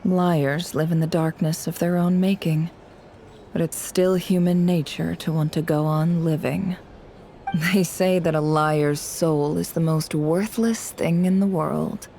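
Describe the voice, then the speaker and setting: Cool sly cynical young woman, low mellow voice, sardonic, anime game drama. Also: steady tone, slight background noise. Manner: measured, sardonic, knowing.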